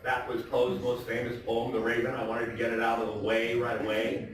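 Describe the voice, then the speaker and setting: A man hosting a live stage reading, talking to the audience in an informal, chatty, halting way. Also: medium pitch, monotone. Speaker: stage performer hosting an evening of Poe readings. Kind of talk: casual introduction to a live audience. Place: theatre stage, live performance. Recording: very echoey theatre, distant mic, faint noise.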